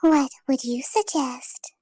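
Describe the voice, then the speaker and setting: High girly-pitched woman reading game lines, bouncy intonation, dry sound. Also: animated, takes it slowly, mellow, dry recording. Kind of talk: casual chat and amateur read-aloud of game script.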